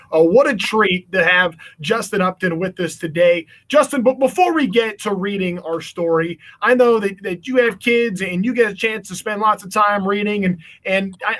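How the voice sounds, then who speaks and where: A man's voice: high-pitched, a bit bright. A man with an American accent hosting a children's online event in an upbeat, chatty style. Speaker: event host.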